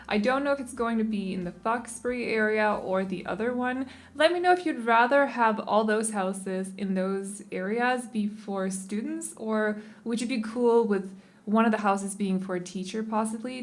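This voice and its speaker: A young Danish woman, not a native English speaker, talks casually over her speed build video, rambling and often correcting her own word choices. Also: a little high. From Denmark. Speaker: game building channel host.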